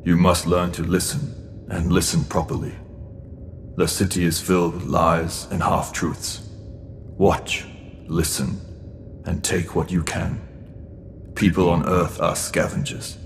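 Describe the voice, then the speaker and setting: A man's voice: low voice, level tone, slow, long pauses, full character voice. A man playing a stern, cryptic mentor in a sci-fi audio drama, grave and admonishing. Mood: ominous, instructive. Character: stern, cryptic mentor figure guarding a teenage boy. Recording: long hall reverb, clear background noise.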